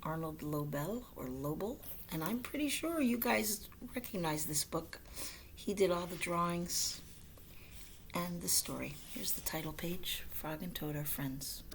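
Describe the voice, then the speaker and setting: A woman reading a children's story aloud in a gentle storytelling style. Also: lowish voice, animated, unhurried, slight background noise. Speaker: storybook reader.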